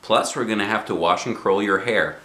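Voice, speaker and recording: A man's voice: fairly low pitch, brisk, sharp tone, echoey room. A man voicing an exasperated, strict mother who hollers and scolds with stern emphasis. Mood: firm.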